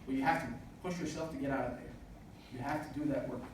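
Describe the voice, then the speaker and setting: A man's voice: medium pitch, fairly even intonation, fast. A man in his twenties giving an earnest motivational speech at a business event, with frequent "uh" fillers. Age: 20s. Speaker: speaker at a student business event. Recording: echoey hall recording from far off, noisy.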